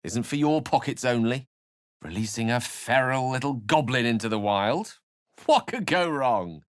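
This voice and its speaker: An actor playing a scholarly, eloquent wizard companion in a video game, with an articulate, theatrical manner. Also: male voice, medium pitch, expressive, a little slow, dry recording, clean studio sound, natural voice. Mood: earnest, genial. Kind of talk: video game character acting and narration.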